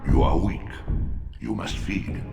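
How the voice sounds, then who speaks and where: An actor playing an ancient, commanding underworld god in a video game, with grave, imperious, archaic and theatrical delivery. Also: male voice, animated, slow pace, heavily acted. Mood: grave, instructive. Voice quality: deep, gravelly. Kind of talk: solemn video game voice acting. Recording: reverberant voice under loud game music.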